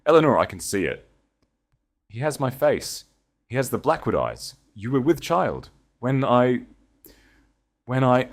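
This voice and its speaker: A man's voice: mid-range voice, very animated, measured pace, long pauses, voice pushed up. A man voicing a desperate, broken nobleman with a ragged, shaking voice.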